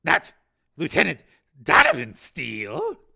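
A man's voice: high-pitched, huge pitch swings, unhurried, gravelly, sharp tone, breathy edge, voice pushed up, full character voice. A man voicing a vicious, derisive pimp in a dramatic story reading, with a snarling, hissing delivery. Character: vicious, sneering male pimp Rico, pretty and flamboyant.

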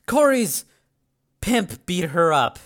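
A man voicing a world-weary woman who breaks bad news gently in a flat, subdued way in an audiobook drama. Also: high-pitched, slow pace, pitched above the performer's own voice, exaggerated voice. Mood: grave, reluctant.

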